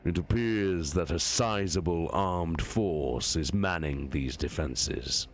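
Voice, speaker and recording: A man voicing a commanding, calculating warlord in a sci-fi story reading, speaking deliberately with cold contempt. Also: low-pitched, slight background noise. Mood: measured, strategic. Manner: slow, deliberate, imperious. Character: commanding, calculating male warlord, coldly contemptuous of his enemies. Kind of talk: dramatic audiobook-style reading of science fiction.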